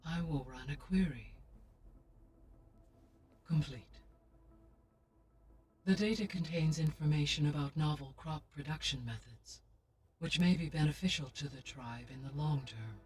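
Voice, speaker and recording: A calm, clinical AI intelligence. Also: female voice, deep voice, husky, full character voice. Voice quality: measured, clinical delivery. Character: calm, precise female AI intelligence. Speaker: AI companion in a video game. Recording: distant game audio with faint music.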